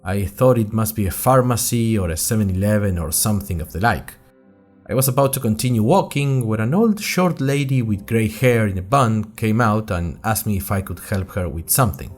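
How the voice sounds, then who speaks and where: A man's voice: fairly low pitch, moderate pace, faint music behind, lightly acted. A man playing an earnest young college student who tells his story in a wry, conversational way, as host of an audio drama diary. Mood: chatty storytelling. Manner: conversational, wry, addresses listeners directly. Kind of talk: scripted first-person audio drama narration.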